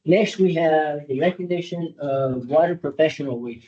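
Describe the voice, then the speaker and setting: A man born in Cuba chairing a public board meeting, pausing often with filler sounds as he speaks and reads a formal proclamation. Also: mellow, far from the mic. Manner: hesitant, many filler sounds. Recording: dull low-fidelity meeting audio.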